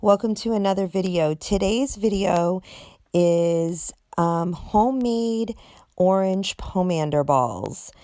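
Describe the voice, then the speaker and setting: A woman's voice: fairly low pitch, slow, mellow, no room sound, studio quality. A young woman vlogger with a scratchy, allergy-worn voice, chatting casually and rambling with lots of ums and likes. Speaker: craft vlogger host.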